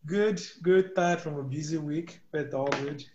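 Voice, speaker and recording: A man's voice: fairly high pitch, unhurried, a little mellow, reverberant, dull audio, slight background noise. A man in his twenties from Nigeria, a guest on an interview podcast, speaking hesitantly with many ums and restarts. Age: 20s. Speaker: guest, physics PhD student and startup co-founder.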